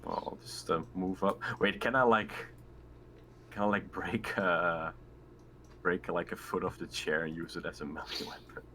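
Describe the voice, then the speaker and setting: Male tabletop player, low pitch, relaxed game chat, faint room noise. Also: moderate pace.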